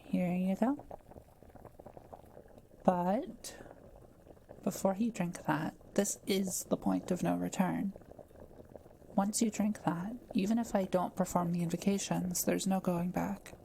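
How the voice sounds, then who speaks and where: A woman playing a kind, patient witch in an intimate audio roleplay, explaining things gently and without hurry to one listener. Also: fairly low pitch, steady tone, slow, creaky, long pauses, a little hiss or hum, poor audio. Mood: careful, earnest. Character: kind, quiet-living forest witch, patient and scholarly.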